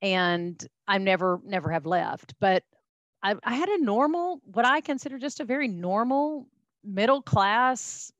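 A woman's voice: medium pitch, lively intonation, a little slow, fairly bright tone, no room sound, dull audio. A middle-aged woman from Texas with a Texan accent, telling her life story as a podcast guest in a chatty, folksy way with many fillers and restarts. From Texas, USA. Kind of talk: casual interview storytelling.

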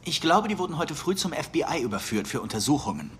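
An actor playing a matter-of-fact, slightly evasive morgue attendant in a dubbed mystery drama. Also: male voice, fairly high pitch, big pitch swings, fast, slight background noise.

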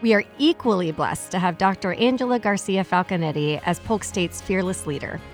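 A woman's voice: on the low side, a little fast, soft background music. A woman hosting a podcast interview in a chatty way, laughing easily. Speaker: podcast host.

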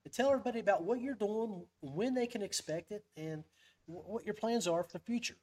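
Higher-voiced man hosting a folksy chat. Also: fairly quick, darkish tone. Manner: folksy, chummy. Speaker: podcast host. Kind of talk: casual live-stream chat.

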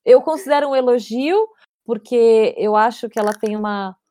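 A woman's voice: fairly high pitch, moderate pace, dark tone. A woman guest with a mixed Brazilian Portuguese accent, chatting casually in an interview and laughing often.

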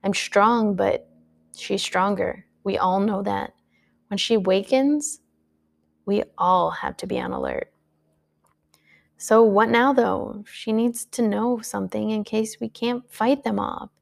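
A woman's voice: mid-range voice, slow, gravelly. A woman reading aloud who voices a protective young woman guardian, speaking urgently and then soothingly.

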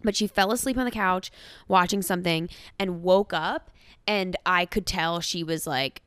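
A woman co-hosting a podcast, talking frankly and casually, with quick asides. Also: lowish voice, fairly bright tone, clear, resonant tone, dry recording, studio quality. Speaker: podcast co-host.

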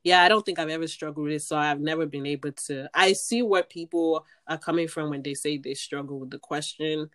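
A woman from Nigeria hosting a casual interview, chatty and quick to laugh, thinking aloud with restarts as she asks questions. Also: deep voice, fairly even intonation, a bit bright. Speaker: podcast host.

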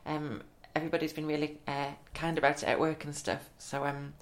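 A man's voice: a little high, completely flat delivery, a little fast. A young man chats casually as a podcast co-host. He is upbeat and laughs easily, with a slight lisp from new teeth. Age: 20s. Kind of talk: casual chat.

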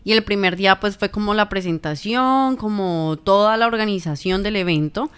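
A woman's voice: mid-range voice, moderate pace, smooth. A woman with a Colombian accent, a journalist co-hosting a podcast, chatting cheerfully. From Ibagué, Colombia. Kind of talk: casual podcast chat.

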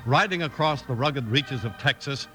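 A man's voice: medium pitch, normal speed, over music. An American man announcing and narrating in an emphatic, dramatic 1950s radio style. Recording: old-time radio recording.

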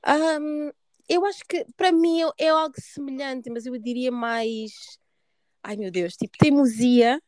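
A woman's voice: high-pitched, measured pace, a little mellow, clean tone, dry recording. A woman host from Portugal with a European Portuguese accent, chatting informally and dropping in English words. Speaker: podcast host. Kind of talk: casual conversation.

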